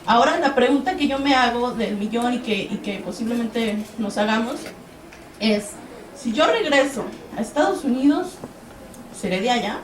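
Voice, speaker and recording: A woman's voice: fairly high pitch, expressive, moderate pace, noisy background. A young woman from Mexico giving an earnest personal account on a panel, acting out quoted exchanges as she tells her story. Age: young adult. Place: event hall. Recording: echoey hall, distant mic.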